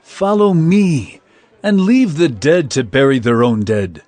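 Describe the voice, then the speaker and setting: A man's voice: on the high side, takes it slowly, a little mellow, dry recording. A man playing an authoritative, compassionate holy teacher in a dramatized audio Bible, solemn and emphatic. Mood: grave.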